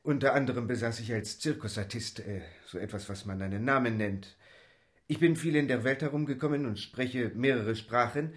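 Man playing French manservant, medium pitch, French accent, deferential, earnest, talkative, radio play. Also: moderate pace, lightly acted. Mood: deferential, eager. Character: eager, deferential, worldly French manservant seeking a position. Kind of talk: radio drama acting.

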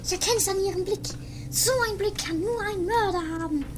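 A woman playing a flustered, dim-witted servant in a comic radio play, jittery and stammering, on a very noisy homemade recording. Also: fairly quick. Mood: nervous, indignant. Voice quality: extremely high, squeaky voice. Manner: jittery, stammering, repeating words. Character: flustered, squeaky, dim-witted female coffee-making servant.

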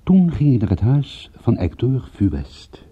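A man playing a cynical private detective who narrates his case in a deadpan, sardonic way, as in a radio play. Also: mid-range voice, takes it slowly, slightly rough, heavily acted. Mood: wry, matter-of-fact. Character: world-weary, cynical male private detective recounting a case. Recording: muffled old radio-play recording.

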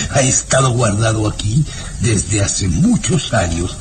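An actor playing an older baron, an eerily doting father, speaking slowly and insistently in a radio drama with music behind. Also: lowish voice, big pitch swings. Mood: fond. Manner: slow, insistent, with dry chuckles. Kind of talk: 1960s radio drama acting.

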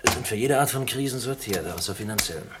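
A man playing a dry, duty-weary but sympathetic barman in a radio drama, laconic and deadpan. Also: on the low side, fast, very noisy, natural voice. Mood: wry.

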